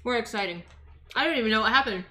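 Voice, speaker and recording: A woman's voice: fairly high pitch, lively intonation, fast talker, bright, forceful tone. A young woman from Los Angeles chatting casually with her stream while cooking in a home kitchen, answering chat as she chops. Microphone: desk microphone on the counter.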